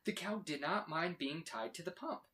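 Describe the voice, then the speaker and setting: A man reading a children's story aloud with expressive, dramatic emphasis. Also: on the high side, moderate pace. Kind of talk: animated read-aloud narration for children.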